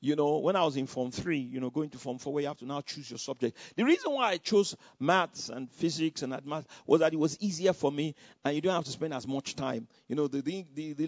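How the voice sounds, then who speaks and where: A Nigerian man preaching, telling a personal testimony in an animated storytelling way with repetitions. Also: a little high, no echo. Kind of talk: sermon testimony. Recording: dull lo-fi sound.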